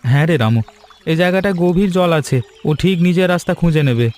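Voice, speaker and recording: A man playing a poor, honest, kind young villager in an animated children's story, gentle and conciliatory in manner. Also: fairly high pitch, brisk, close to an everyday voice. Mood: calm, resigned.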